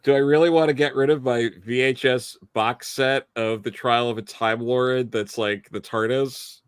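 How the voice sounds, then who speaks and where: A man chatting casually as a guest, starting and restarting his sentences in a halting way. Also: medium pitch, fairly even intonation, clean tone, no echo. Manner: halting, repeats words as he starts. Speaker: guest on a fan live stream. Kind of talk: casual chat.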